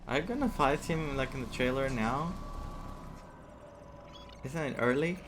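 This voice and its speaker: A man's voice: mid-range voice, measured pace, noisy background. A man who is a native Greek speaker doing casual playthrough commentary, reacting aloud and explaining words as he reads them. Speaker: gaming streamer. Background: game music.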